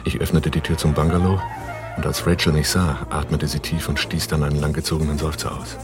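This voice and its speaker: A man's voice: rumbling low, little pitch movement, a little fast, music in the background. A man acting a terse, hard-boiled gunrunner in a German radio drama, with a laconic way of talking. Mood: grim. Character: terse, hard-boiled male gunrunner telling his story.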